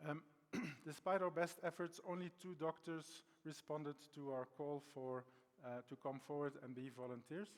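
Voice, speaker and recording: A man's voice: a little high, level tone, normal speed. Give me a man with a Belgian accent, hesitant presenter, voice ringing in a reverberant conference hall. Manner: hesitant, many ums and restarts.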